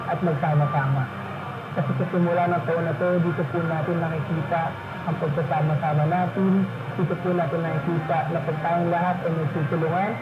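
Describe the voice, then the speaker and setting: A man with a Filipino accent giving a polite, repetitive formal greeting as a local official. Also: a little high. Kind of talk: formal New Year greeting speech. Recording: tinny, echoey, noisy radio message.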